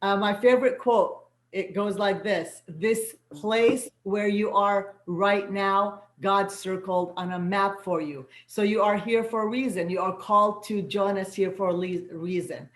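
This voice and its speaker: Host: native Arabic-speaking woman, soul guide and coach, gentle and affirming; echoey video-call sound. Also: medium pitch, measured pace, few pauses. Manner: gentle, affirming, repeats words for emphasis. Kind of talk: inspirational welcome to an online gathering.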